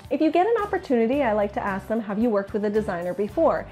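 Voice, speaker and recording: A woman's voice: a little high, sing-song, fast talker, darkish tone, faint music behind. A woman with a Canadian accent giving informal instructional advice to viewers as a business coach, chatty and candid. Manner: chatty, candid, acts out client remarks. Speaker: business coach for interior designers. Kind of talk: informal instructional video to camera.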